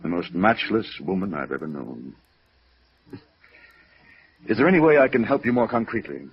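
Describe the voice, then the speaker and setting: A man playing a proud, lovelorn older gentleman in a 1950s radio drama, formal and defensive, with bitterness underneath. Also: lowish voice, big pitch swings, normal speed. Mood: wistful. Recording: muffled vintage radio recording.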